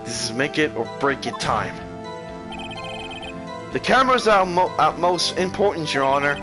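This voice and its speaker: A man's voice: fairly high pitch, lively intonation, moderate pace, music in the background, lightly acted. Man playing an insistent young defense lawyer. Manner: insistent, pointed questioning. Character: earnest, dogged young male defense lawyer. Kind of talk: video game character voice-over.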